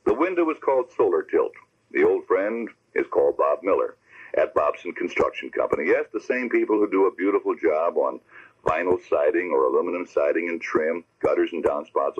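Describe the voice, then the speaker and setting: Deep-voiced male radio pitchman. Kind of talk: personal, conversational ad read. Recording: dull old radio recording.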